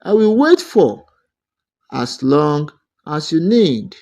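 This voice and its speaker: Man voicing a gentle, patient suitor, unhurried delivery. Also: fairly high pitch, sing-song, heavily acted. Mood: tender, patient. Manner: gentle, reassuring. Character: gentle, patient city man courting a shy woman.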